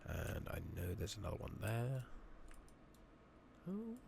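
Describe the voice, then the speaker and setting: A man doing soft-spoken, partly whispered ASMR game commentary, rambling along in a relaxed way as he thinks aloud. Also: on the low side, slow, creaky, background noise. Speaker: game streamer, ASMR host. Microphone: makeshift new close microphone.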